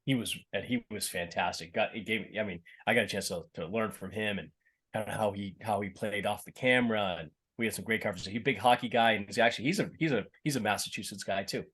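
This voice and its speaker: A man's voice: fairly low pitch, fast, fairly bright tone, husky. A middle-aged man from Boston with a slight Boston accent, a guest chatting casually in an interview and often restarting his sentences. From Boston, USA. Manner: rambling, many restarts and fillers. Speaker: actor, podcast guest.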